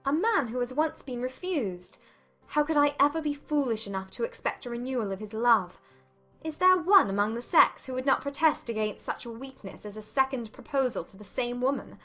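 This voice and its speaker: A woman's voice: a little high, expressive, a little fast, sharp tone, faint music behind, pitched above the performer's own voice. A woman voicing a proud, lovelorn young lady in a dramatized period novel, earnest and emotional. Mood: self-reproachful. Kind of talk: dramatized audiobook dialogue.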